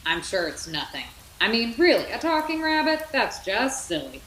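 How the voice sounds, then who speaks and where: Female reader as storybook space-hero toy, male, breezily confident, lively pitch, echoey room. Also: moderate pace. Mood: breezy confidence. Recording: roomy echo, background noise.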